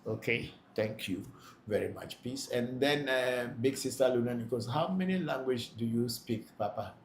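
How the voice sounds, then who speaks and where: Gravelly-voiced man in an echoey room. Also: mid-range voice, moderate pace. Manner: plain-spoken, repeats himself for emphasis. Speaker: Vodu priest hosting a live Q&A. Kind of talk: live Q&A, reading out and answering questions. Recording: echoey room, faint background noise.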